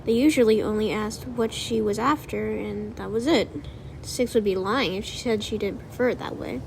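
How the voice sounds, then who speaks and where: A woman's voice: mid-range voice, moderate pace, creaky, a little hiss or hum. A woman narrating a story from a script, as in an audiobook of fan fiction.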